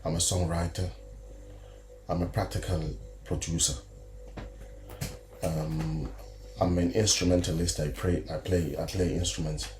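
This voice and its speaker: A man's voice: low-pitched, little pitch movement, measured pace, long pauses, a little mellow, soft background music. A man from Zimbabwe with a Zimbabwean accent, a musician guest answering easygoing, often restarting his sentences. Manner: easygoing, self-correcting, proud. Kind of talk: casual interview answers.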